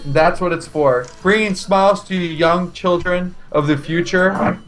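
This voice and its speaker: Playful, jokey young man, slightly high. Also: a little slow, a bit bright, soft background music, low-quality recording. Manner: playful, jokey, laughs often. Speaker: esports caster. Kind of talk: casual chat between casters.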